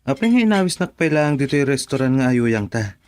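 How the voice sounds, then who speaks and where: A man's voice: medium pitch, big pitch swings, brisk, a little mellow, no echo, natural voice. A man acting a humble, devoted young tailor in a radio drama, earnest and respectful, with a Filipino accent. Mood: tender, anxious.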